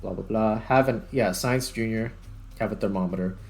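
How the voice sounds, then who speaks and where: Low-voiced man narrating his gameplay. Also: normal speed, gravelly, a little mellow. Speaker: gaming video host. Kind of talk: casual gameplay commentary, thinking aloud. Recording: music playing behind the voice. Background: background music.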